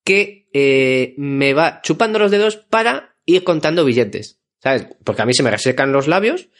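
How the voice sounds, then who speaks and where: A man's voice: a little high, normal speed. Guy on a spanish podcast, dry jokey bragging, just chatting. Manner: deadpan joking, ironic boasting. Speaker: podcast co-host. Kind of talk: casual podcast chat.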